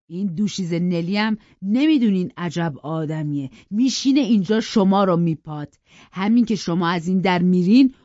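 A storybook narrator plays a sneering old servant who loves to tattle. The delivery is loud, biting and sarcastic, with drawn-out jeers. The recording is dry and a little dull. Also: mid-range voice, dry recording, lightly acted. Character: spiteful old manservant, sneering, gossiping tattletale.

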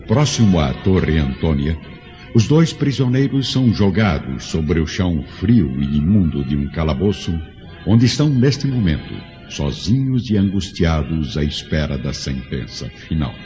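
A man's voice: extremely low pitch, very animated, normal speed, warm tone. A man narrating a radio drama as a solemn storyteller, with dramatic scripted delivery. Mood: grave. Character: solemn storyteller of an ancient epic. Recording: dull lo-fi radio drama, music behind.

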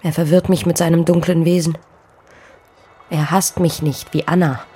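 An actress playing a poor young pregnant farm maid in a radio play, fearful yet stubborn, speaking earnestly in formal stage diction. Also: female voice, low voice, little pitch movement, normal speed, warm tone, slightly rough. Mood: anxious, pleading. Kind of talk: German radio play acting.

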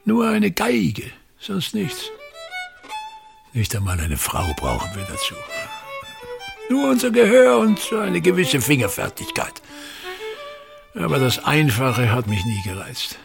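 An actor playing an obsessive, domineering old theatre director in a radio play, with a pompous, declamatory delivery. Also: male voice, a little high, huge pitch swings, unhurried, creaky, long pauses, over music, heavily acted. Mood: disgusted, brooding. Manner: declamatory, pompous, ranting in fits and starts.

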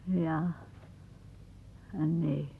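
An actress playing a wry, imaginative old aunt in a Dutch radio play, musing theatrically with pauses. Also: female voice, sits low, fairly even intonation, creaky, breathy edge, exaggerated voice. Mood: wistful, musing. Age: older. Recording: muffled phone-like old radio recording.

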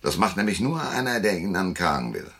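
An actor playing a world-weary, laconic private detective who narrates in a dry, sardonic, gravelly way in a German noir radio drama. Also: male voice, deep voice, big pitch swings, fast, a bit bright, full character voice. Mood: curt, commanding.

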